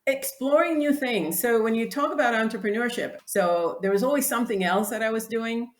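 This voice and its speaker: A woman's voice: a little high, a little fast. Reflective woman from the Dominican Republic. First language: Spanish. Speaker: guest, clothing designer. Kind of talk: interview answers. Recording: echoey room.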